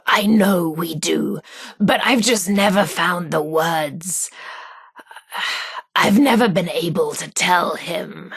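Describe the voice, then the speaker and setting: An actress with a husky, breathy voice, playing a shy but sassy, lovestruck radio DJ in a video game. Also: female voice, on the low side, fairly bright tone, dry recording, exaggerated voice. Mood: tender. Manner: informal, slangy, hesitant about feelings. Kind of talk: video game character dialogue.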